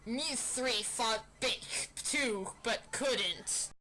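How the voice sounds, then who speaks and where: A woman reads a story aloud in a bright, high voice. She is playful and mock-dramatic, unhurried, with a faint fan noise behind her. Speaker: reader in an amateur dramatic reading. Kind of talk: mock-dramatic fan fiction narration with casual asides.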